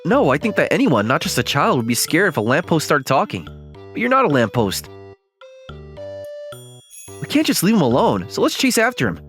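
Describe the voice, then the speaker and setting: A man voicing an awkward, kind, earnest young man in a manga dub, with expressive delivery. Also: on the high side, moderate pace, long pauses, faint music behind. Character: awkward, kind young man self-conscious about his looks. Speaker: voice actor. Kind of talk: manga dub dialogue and narration.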